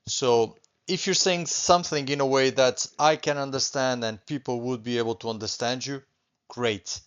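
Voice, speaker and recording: A man's voice: medium pitch, steady tone, moderate pace, fairly bright tone, smooth, muffled recording. A Portuguese man with a Portuguese accent, teaching a beginners' language class in a patient, reassuring way that often repeats points and adds "okay?". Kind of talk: online language lesson.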